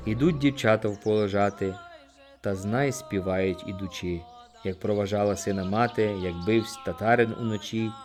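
A man narrating an audiobook, reciting poetry in an expressive, theatrical way. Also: fairly low pitch, moderate pace. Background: music.

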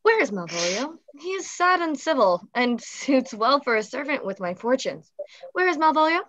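A woman's voice: high-pitched, very animated, normal speed, a bit bright. A young woman playing a lovesick, earnest noblewoman in a Shakespeare comedy, read over a video call. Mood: anxious, lovesick. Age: young adult. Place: indoor room, earphones on video call. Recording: slightly dull video call audio.

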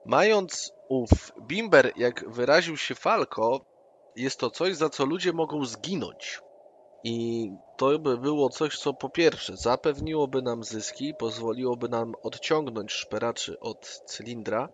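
A man's voice: medium pitch, a little slow. Scheming man on a dull call. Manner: persuasive, scheming. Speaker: player. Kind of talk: in-character roleplay. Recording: dull online call audio with noise.

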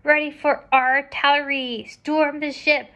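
A woman's voice: a little high, animated, slow pace, fairly bright tone, muffled recording. A woman voicing a blustering male pirate captain from a children's storybook, roaring out commands. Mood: blustering, commanding.

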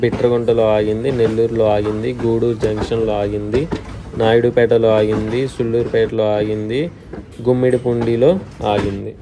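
A man's voice: level tone, normal speed, music in the background. A man with a Telugu accent chatting as a travel vlogger inside a moving train, explaining stations as he goes. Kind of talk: casual travel vlog commentary. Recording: train rumble in background.

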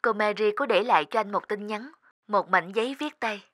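A woman playing a gentle, sympathetic receptionist in an animated drama, with a polite, measured delivery. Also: medium pitch, close to an everyday voice.

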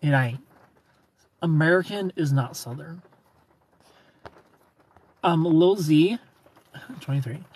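A man's voice: on the high side, takes it slowly, long pauses, darkish tone, husky. A man in his thirties, sitting in a car and chatting playfully on a live stream while he reads viewers' comments and laughs often into a phone held close. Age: 30s. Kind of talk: casual live-stream chat. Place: parked car at night, neon lights.

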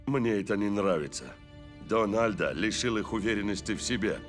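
An actor playing a stern, level-headed middle-aged soccer coach in a cartoon dub. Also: male voice, lowish voice, moderate pace, lightly acted. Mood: serious, concerned. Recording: background music under the voice.

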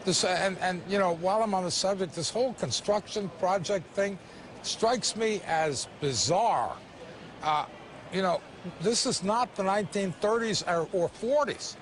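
A middle-aged co-anchor in a TV studio, skeptical and slow as he presses the guest. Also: high voice, slight background noise. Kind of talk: live business news debate. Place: TV news studio.

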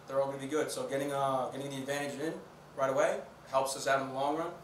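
A man's voice: medium pitch, flat delivery, quick pace. A young man, a college baseball catcher, giving casual answers in a post-game interview. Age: young adult. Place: indoor press conference room at a table. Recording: echoey, distant mic, faint noise.

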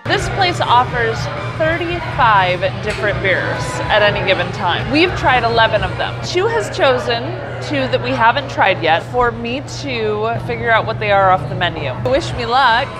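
American female vlogger, thirties, high voice, playful and chatty, loud music behind. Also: expressive, normal speed, hardly any pauses. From United States. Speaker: vlog host. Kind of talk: casual vlog chat, beer tasting. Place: indoor brewery taproom. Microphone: clip-on lapel mic. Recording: busy taproom with loud music and crowd. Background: music, bar crowd chatter.

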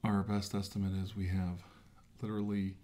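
A middle-aged man playing an earnest scientist who leads an armed mission, speaking in halting, measured phrases on a noisy recording. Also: low voice, level tone, mellow, natural voice. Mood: earnest, commanding. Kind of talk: amateur sci-fi role-play, briefing a team.

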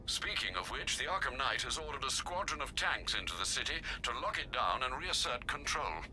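A man's voice: medium pitch, lively intonation, brisk, bright, forceful tone, soft background music. A man playing a dutiful, concerned older butler in a video game, speaking formally and politely. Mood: concerned, businesslike. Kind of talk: video game drama acting.

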